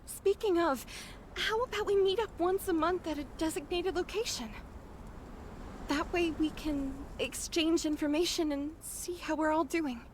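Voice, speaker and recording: An upbeat young female squad mate from a video game. Her voice is extremely high, girlish and friendly. Faint ambience sits behind her. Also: a little slow, a little hiss or hum. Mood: cheerful, friendly.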